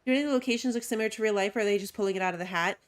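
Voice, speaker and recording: A woman's voice: medium pitch, very fast. Chatty woman streamer rambling. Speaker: game streamer. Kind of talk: casual gameplay commentary.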